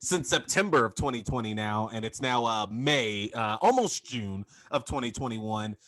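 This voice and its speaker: A man's voice: mid-range voice, expressive, moderate pace, a bit bright, dry recording. A man in his thirties hosting a podcast in a loose, casual way, swearing freely and filling his talk with "like" and "man.". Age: 30s. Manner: loose and profane, lots of "like" and "man". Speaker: podcast host. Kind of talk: casual chat.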